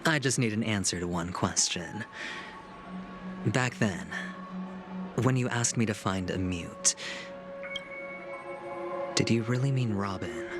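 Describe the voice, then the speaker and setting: An actor playing a smug young male gambler and schemer in a video game, with a teasing, grandiose, drawn-out delivery. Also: lowish voice. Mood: sly, teasing. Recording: music under the voice.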